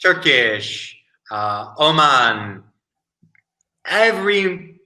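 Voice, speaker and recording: Young guy tutor on a video call, super bright tense voice, animated storyteller. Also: on the high side, very slow. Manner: animated, joking storyteller, mimics locals. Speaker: online English tutor. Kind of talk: casual video call chat.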